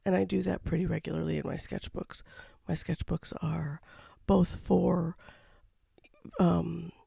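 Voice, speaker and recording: An artist talking casually over a painting time-lapse, with a froggy voice from a cold and a low-key, rambling manner. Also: little pitch movement, unhurried, creaky, warm tone, breathy edge, no echo, clean studio sound. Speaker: watercolor artist. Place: home studio.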